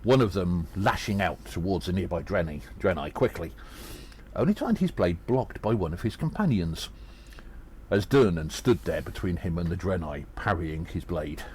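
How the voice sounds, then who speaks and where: A man playing a small, agile adventurer who recounts battles in an audio drama, earnest and dramatic, with halting pauses. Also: low-pitched, expressive, darkish tone, a little hiss or hum. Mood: gripped, suspenseful. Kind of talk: first-person audio drama narration.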